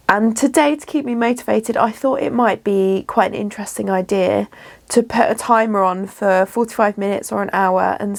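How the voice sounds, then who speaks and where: A chatty woman talking to camera from her home studio. Her voice has a slightly gravelly, creaky texture and sits at an ordinary middle pitch. She sounds a little bunged up and drifts along with easy ums and restarts. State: slightly bunged up from a runny nose. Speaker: small business vlogger. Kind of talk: casual vlog chat to camera.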